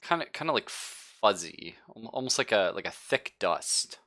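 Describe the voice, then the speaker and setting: Bright-voiced man running a tabletop game. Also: fairly low pitch, sing-song. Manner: informal, halting, many likes and pauses. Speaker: game master. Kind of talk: casual narration of a live tabletop game.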